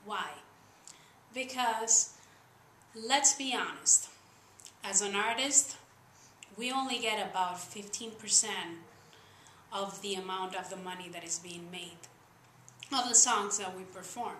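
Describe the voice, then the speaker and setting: A woman's voice: mid-range voice, slow, pauses often, bright, forceful tone, echoey room, a little hiss or hum, low-quality recording. A young woman from Spain with a Spanish accent, talking casually to camera about songwriting in earnest, slightly uneven English. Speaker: singer-songwriter vlogger. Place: small room indoors.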